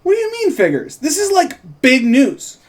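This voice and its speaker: A man's voice: extremely high pitch, huge pitch swings, normal speed, voice pushed up, full character voice. A man reading the part of an upset, skeptical teenage boy in a fantasy script, with emphatic, exasperated delivery. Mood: indignant.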